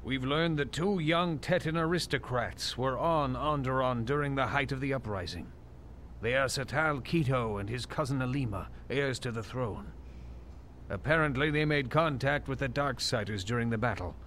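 An actor playing a grave, wise Jedi master in a space-fantasy audio drama, solemn, measured and authoritative. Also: male voice, mid-range voice, fairly bright tone, slight background noise, lightly acted.

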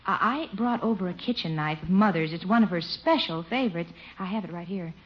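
A woman's voice: on the low side, a little fast, lightly acted. An actress playing a genteel, coy single woman, gushing and a little hesitant, in a 1950s radio comedy. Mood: friendly, confiding. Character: genteel, coy single woman being courted, sweetly admiring. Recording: dull, noisy vintage radio recording.

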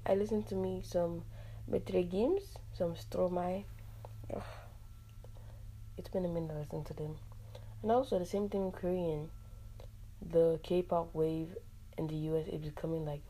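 A young woman from Nigeria, hosting a casual solo podcast, chatty and animated, with frequent laughs and fillers. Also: on the low side, gravelly, rough recording. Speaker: podcast host.

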